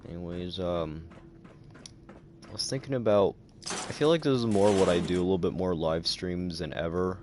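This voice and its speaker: A tired male streamer with a very deep, low voice, rambling along with many fillers and a dry throat. Faint noise sits behind him. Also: moderate pace. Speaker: livestreamer. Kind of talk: casual livestream chat.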